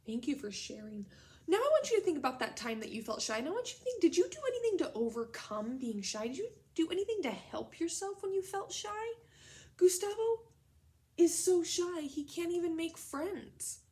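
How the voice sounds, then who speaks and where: A woman's voice: very high voice, big pitch swings, normal speed, warm tone. A woman teacher leading a read-aloud lesson for young children, speaking in simple, instructional phrases and questions. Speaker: elementary school teacher.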